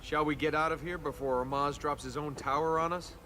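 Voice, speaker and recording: An actor playing a young, sarcastic adventurer prince, a flippant and reluctant hero in a video game. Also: male voice, on the high side, steady tone, brisk, bright, forceful tone, a little hiss or hum. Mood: wry. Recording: music behind the voice. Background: game music.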